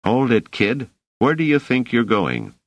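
A man voicing a gruff, bullying police officer in an audiobook, barking curt orders. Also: mid-range voice, huge pitch swings, unhurried, no room sound, muffled recording, pitched above the performer's own voice, heavily acted. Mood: stern.

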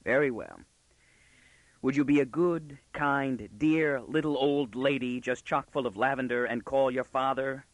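A man's voice: mid-range voice, sing-song, normal speed, dry recording. A man voicing a wry young male intruder in a storybook, gritty and sardonic with mocking courtesy. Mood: strained courtesy.

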